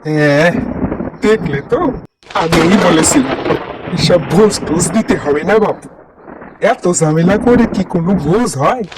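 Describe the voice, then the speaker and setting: A woman's voice: lowish voice, animated, a little slow, long pauses, heavy background noise. A woman voicing an older village man in a children's cartoon, folksy and emphatic. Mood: grumbling. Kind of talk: children's cartoon story acting.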